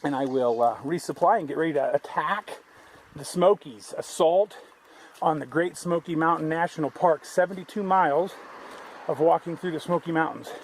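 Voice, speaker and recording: A man's voice: fairly high pitch, moderate pace, a little hiss or hum. A man talking casually to his camera outdoors on a hiking trail, rambling and restarting his sentences. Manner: rambling, conversational, many restarts. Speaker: thru-hiker vlogger.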